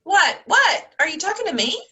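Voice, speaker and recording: Casting: actress as dithering woman president in stage farce, high and bright, slow and scatterbrained. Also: big pitch swings, full character voice. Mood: confused, defensive. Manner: dithering, halting. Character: flustered, scatterbrained woman president of community theater board. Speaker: actor in online comedy. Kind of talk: farcical comedy acting.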